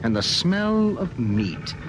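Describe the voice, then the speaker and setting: A man playing a solemn storyteller in an old-time American radio drama, with deliberate, vivid, literary delivery. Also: medium pitch, huge pitch swings, background noise. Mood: intense. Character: solemn male storyteller of an eerie science fiction tale. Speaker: narrator. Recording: vintage radio recording with music behind.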